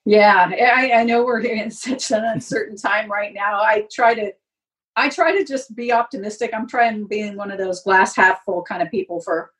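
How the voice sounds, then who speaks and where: A woman's voice: medium pitch, normal speed, a bit bright. A woman speaking candidly and emphatically as a guest in a casual interview; she works as a sports announcer. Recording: voice distant from the mic.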